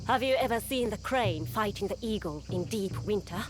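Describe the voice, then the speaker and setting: An actress playing a proud, earnest female warrior in a video game, speaking deliberately in formal, poetic phrases. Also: medium pitch, expressive, music in the background, lightly acted. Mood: proud, defiant. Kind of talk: dramatic video game acting.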